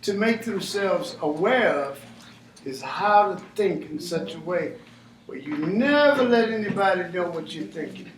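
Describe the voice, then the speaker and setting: A man gives an animated, informal lecture, often turning questions back on his small audience. His voice sits high for a man. It was picked up in an echoey room from some distance, with a faint room hiss behind it. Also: measured pace. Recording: echoey room, mic far off.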